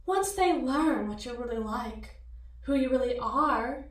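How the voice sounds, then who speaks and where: Echo surrounds a sly mirror-self acted out by a woman in an ASMR roleplay. She coos and taunts in a high, swooping voice, seductive and mocking, stretching out every tease. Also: lively intonation. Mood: coaxing, cutting. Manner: mocking, cooing, drawn-out teasing. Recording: echoey, distant-sounding voice.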